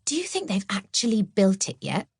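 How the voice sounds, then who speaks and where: A woman's voice: medium pitch, wildly swooping pitch, moderate pace, gravelly, husky, no room sound, studio quality, pitched above the performer's own voice, exaggerated voice. A woman voicing a cheerful young schoolgirl witch with a bubbly, exclamatory manner, in a children's audiobook. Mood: excited. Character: cheerful, giggly schoolgirl witch, kindly best friend. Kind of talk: children's audiobook character acting.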